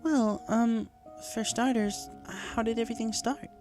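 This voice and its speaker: A woman's voice: a little high, fairly even intonation, measured pace, a little mellow, pitched above the performer's own voice, natural voice. A woman voicing a bookish, helpful mare pony who speaks thoughtfully and asks questions in a story. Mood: curious, concerned. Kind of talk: fan fiction character dialogue. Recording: music behind the voice.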